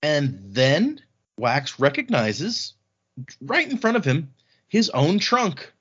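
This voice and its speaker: A man's voice: on the high side, big pitch swings, slow pace, a bit bright, breathy edge, lo-fi sound. A man hosting a podcast, retelling a fantasy novel in casual, colloquial language with wry asides. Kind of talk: casual chat, informal book recap.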